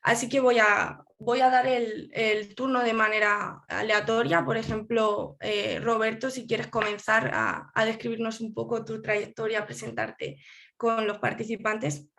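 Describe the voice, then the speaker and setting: A woman moderates a panel over a video call, her voice sitting a little high. She speaks in a measured, friendly way, pausing with small fillers as she hands over turns. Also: little pitch movement, vocal fry, a bit bright.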